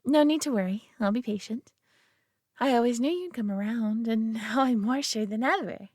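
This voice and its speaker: A woman voicing a possessive, doting alien who coos over her pet human in an intimate roleplay audio drama, coddling and softly condescending. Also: a little high, moderate pace, no room sound, clean studio sound, close to an everyday voice. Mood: tender, adoring.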